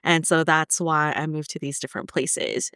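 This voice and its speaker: Clear, lower-pitched woman in casual interview. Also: flat delivery, normal speed, sharp tone, no echo, clean studio sound. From Philippines, raised in South Korea and Egypt. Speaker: podcast guest, author and podcaster.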